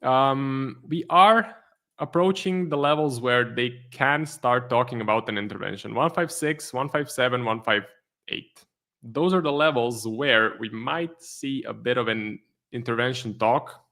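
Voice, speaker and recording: A man from Croatia who speaks English with a Croatian accent. He hosts a live trading stream in a relaxed way, reading out viewer questions and answering them as casual commentary. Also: medium pitch, unhurried. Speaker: trading livestream host.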